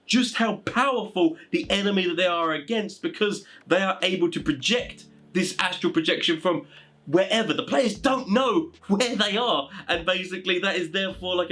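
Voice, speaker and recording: A man hosting a casual explainer for tabletop game masters, talking in an animated, rambling, informal way. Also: sits high, fairly quick. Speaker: video host giving tabletop game master advice.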